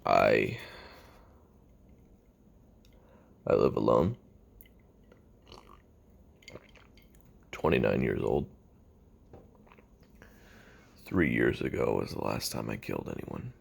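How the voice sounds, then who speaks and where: A man playing a weary, lonely young retired assassin who makes a halting, brooding confession on tape in an audio roleplay, with a gravelly, husky voice. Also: rumbling low, lively intonation, heavily acted. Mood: guarded, flat. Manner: halting, brooding, trailing off with sighs and pauses.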